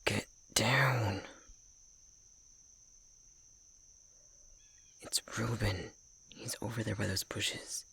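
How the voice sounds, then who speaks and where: Man acting as haughty tsundere elf scholar, ASMR roleplay, low pitch, creaky edge, curt and exasperated. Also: steady tone, slow pace, bright, forceful tone, heavily acted. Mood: hushed, irritated. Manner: curt, haughty, exasperated, often dropping into whispers. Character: prickly tsundere male elf academic, grudgingly caring rival.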